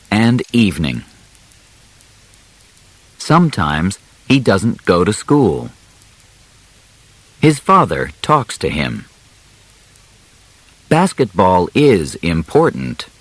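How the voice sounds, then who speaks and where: A man narrating an audiobook, reading a simple scripted story in deliberate, clearly separated short phrases. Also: sits low, no room sound.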